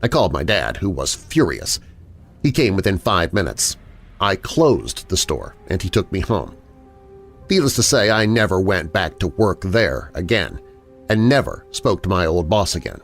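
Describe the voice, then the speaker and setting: A man reading first-person scary stories as a narrator, in a dramatic, expressive storytelling manner. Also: sits low, moderate pace. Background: background music.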